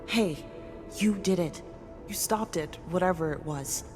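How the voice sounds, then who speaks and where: Actress as a concerned woman crewmate, lowish voice. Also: unhurried, music in the background, natural voice. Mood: warm relief. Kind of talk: video game cutscene acting.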